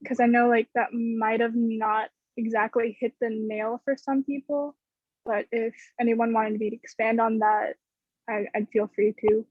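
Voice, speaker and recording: A woman's voice: on the high side, level tone, unhurried, no room sound. A young woman student on a video call from her bedroom, speaking hesitantly with many "like" fillers. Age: young adult. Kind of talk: casual discussion on a video call. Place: bedroom with string lights. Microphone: laptop webcam on a video call. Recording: dull, dry webcam sound.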